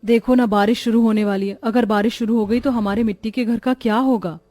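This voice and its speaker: Casting: female performer as gentle, anxious village mum for a cartoon, dry studio sound. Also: fairly high pitch, steady tone, fairly quick, dry recording, close to an everyday voice. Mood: worried. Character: gentle, worrying mother in a poor village. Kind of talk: Hindi cartoon dialogue.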